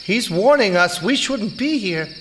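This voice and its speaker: A man's voice: high-pitched, expressive, measured pace, background noise. An actor playing a nervous, reluctant young man in a theatrical audio drama, earnest and easily awed. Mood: anxious, protesting. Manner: anxious, protesting, earnest. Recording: night insect ambience behind.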